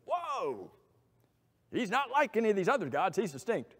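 A middle-aged man preaching a sermon from a church pulpit, animated and asking many rhetorical questions. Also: sits high, normal speed, breathy edge. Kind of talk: expository sermon. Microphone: lapel mic. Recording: long hall reverb.

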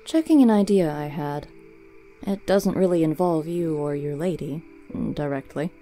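A woman voicing a gruff, watchful male dog familiar in a storybook reading, terse and deliberate. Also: low-pitched, expressive, dark tone, soft background music. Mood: watchful, matter-of-fact.

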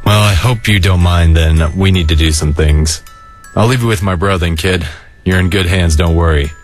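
A man voicing a laid-back, weary and caring skeleton older brother in an animated comic dub, laconic and casual. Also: normal speed, faint music behind, lightly acted. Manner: laconic, casual, low-key.

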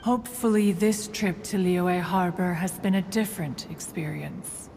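A woman voicing a proud, judging female crane immortal in a video game, with formal, archaic and measured speech. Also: fairly low pitch, gravelly. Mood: solemn, gentler. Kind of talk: scripted video game voice acting. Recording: long reverb with faint background noise.

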